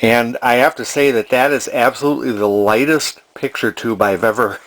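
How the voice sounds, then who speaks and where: A man in his sixties talking casually and haltingly as he hosts a hands-on restoration vlog from his workshop. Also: medium pitch, steady tone, fairly bright tone. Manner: halting, hesitant, rambling. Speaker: vintage TV restorer, video host. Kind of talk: casual spoken workbench vlog. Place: cluttered indoor home workshop.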